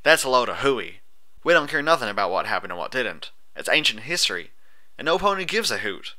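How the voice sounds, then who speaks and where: A man's voice: mid-range voice, big pitch swings, fairly quick, sharp tone, pitched above the performer's own voice. A man voicing a feisty, blunt female farm pony with folksy, defiant talk in an audiobook story. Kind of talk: audiobook character voice.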